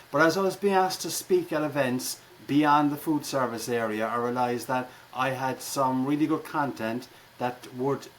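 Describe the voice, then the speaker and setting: A man's voice: mid-range voice, slight background noise, poor audio. A middle-aged or older man with an Irish accent, a keynote speaker answering conversationally in an interview with wry, jokey turns of phrase. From Ireland.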